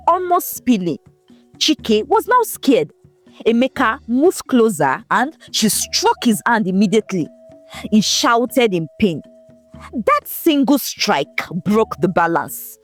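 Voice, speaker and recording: A woman narrating a folktale in a scripted, expressive, dramatic storytelling manner. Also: a little high, slow pace, faint music behind.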